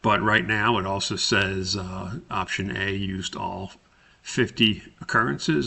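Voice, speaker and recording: A man narrating a step-by-step software tutorial as an instructor, explaining things in a deliberate, somewhat hesitant way. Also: fairly low pitch, gravelly, slightly rough.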